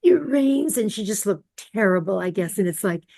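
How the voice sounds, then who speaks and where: A woman's voice: medium pitch, animated, normal speed, a little mellow, dry recording, studio quality. An older woman talking tentatively and conversationally in a casual book discussion. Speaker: book club member.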